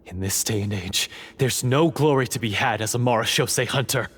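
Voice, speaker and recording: A man's voice: mid-range voice, fairly quick, over music, natural voice. A man voicing a bitter, treacherous guard in an anime-style game, with a sneering, theatrical delivery. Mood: bitter, resentful. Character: bitter, scornful treacherous male guard and swordsman.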